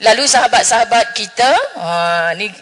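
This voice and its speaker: Woman religious speaker, northern Malaysian Malay accent, middle-aged, bright forceful voice, lively, echoing mosque hall. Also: medium pitch, moderate pace. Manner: chatty, jokes with the audience, Arabic phrases. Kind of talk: lively religious forum talk. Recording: long hall reverb.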